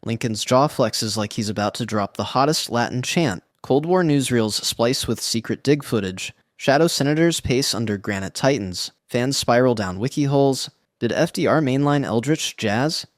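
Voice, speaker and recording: Male narrator, mid pitch, wry deadpan read, dry booth sound. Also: normal speed, hardly any pauses, no room sound. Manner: slangy, wry deadpan delivery. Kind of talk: scripted internet narration.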